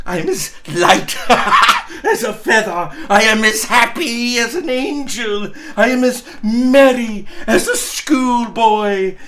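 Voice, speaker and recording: A man acts out a giddy old miser from a storybook, freshly reformed and overjoyed. The voice is high and bright, swooping freely as he stammers and exclaims with exuberance. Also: lively intonation, slow, slight background noise, full character voice. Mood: giddy elation.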